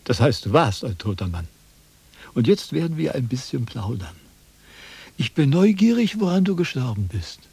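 An actor playing a self-important, wordy wandering philosopher in a declamatory, quibbling radio drama style. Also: male voice, mid-range voice, very animated, moderate pace, gravelly, darkish tone, slightly rough, dry recording, full character voice. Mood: serene, curious. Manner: declamatory, pompous, sophistical. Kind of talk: theatrical German radio drama acting.

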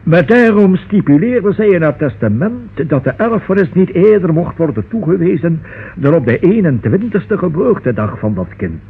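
An actor playing a cautious, pedantic notary in a Dutch radio drama, formal, long-winded and pompous. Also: male voice, on the high side, very animated, normal speed, husky, exaggerated voice. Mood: solemn, precise. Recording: thin, muffled old radio recording.

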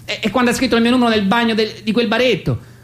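A woman playing an exasperated partner confessing unwanted love in a colloquial, halting radio reading, often repeating herself. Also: on the high side, fairly even intonation, natural voice. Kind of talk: staged radio reading, conversational dialogue.

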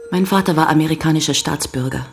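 An actress playing a grave young American woman giving measured, sombre testimony in a German radio crime drama. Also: low-pitched, over music. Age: young adult. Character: grave young American woman recounting her father's betrayal. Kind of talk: German radio play acting.

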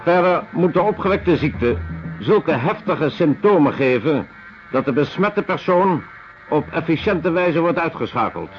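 A clinical, detached male lecturer in a radio play, speaking in a slightly high voice. He is precise and impersonal, with music clearly behind him on a muffled recording. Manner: matter-of-fact, precise, impersonal. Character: detached clinical lecturer on weapons and torture methods. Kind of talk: scripted lecture in a radio drama.

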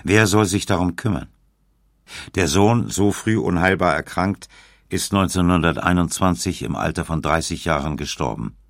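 A man narrating a scripted documentary in a sober, measured way. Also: low voice, animated, creaky, dry recording, clean studio sound.

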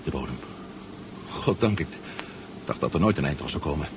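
Nervous, determined deep-sea spy, muffled recording. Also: male voice, expressive, normal speed. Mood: tense, subdued. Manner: anxious, questioning, resolute. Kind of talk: 1970s radio drama acting. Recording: dull, noisy 1970s radio recording.

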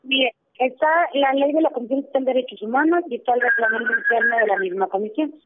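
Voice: mid-range voice, fast talker, a loud crowd. A clerk at a human rights office answering a phone call, hesitant and full of fillers. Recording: tinny phone line.

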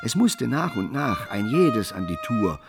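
Composed radio play narrator, lowish voice, old novella style, loud strings behind. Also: male voice, big pitch swings, unhurried, loud music behind the voice.

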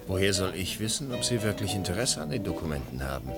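Radio play con man: suave and cocky, lively low delivery, dry irony. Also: quick pace, over loud music. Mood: smooth, ironic. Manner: suave, self-assured, ironic. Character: cocky, smooth male con man chasing big money. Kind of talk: 1980 German radio drama acting.

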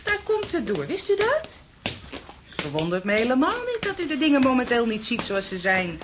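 An actress playing a placating but firm landlady in a radio play, coaxing and patronising, with a breathy voice. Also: sits high, huge pitch swings, moderate pace, background noise. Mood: soothing, firm. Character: placating, firm landlady humouring an unwell male lodger. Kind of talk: Dutch radio play acting.

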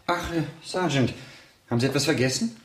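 An actor playing a worried, insistent husband in a German radio crime play, with clipped, pressing questions. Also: male voice, a little high, a little fast, roomy sound, slight background noise, natural voice. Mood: puzzled, concerned.

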